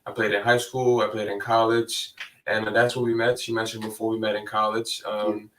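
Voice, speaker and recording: Flat-voiced young man, distant and roomy. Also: normal speed, slight background noise. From Suriname. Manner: brief, low-key answers. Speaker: guest, investor and former coach. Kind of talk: casual interview chat. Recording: distant, roomy remote call audio.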